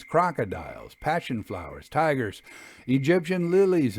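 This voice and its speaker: A man reading a literary ghost story aloud in a measured, expressive, formal way. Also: on the low side. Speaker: audiobook reader. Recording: clear background noise.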